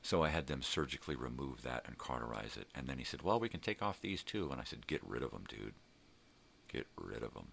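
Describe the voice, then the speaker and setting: An older man with a very deep, gravelly voice chats casually in a rambling, drawn-out way, as a vlog host. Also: expressive, a bit bright, a little hiss or hum. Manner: rambling, drawn-out, mild swearing.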